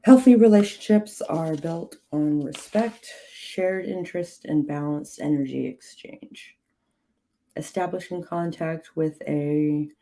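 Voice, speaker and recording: A woman hosting a livestream, reading witchcraft guidance aloud in a measured way, her voice slightly hoarse. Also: sits low, warm tone. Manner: slow, measured reading with clipped, dry asides to chat. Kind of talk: reading aloud with chat asides.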